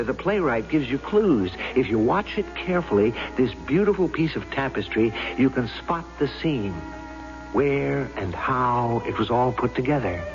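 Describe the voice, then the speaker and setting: An actor playing a doubtful, theatrical man who is a reluctant juror, in a brooding, questioning radio drama style. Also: medium pitch. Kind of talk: 1970s radio drama acting. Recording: dull old radio recording with music.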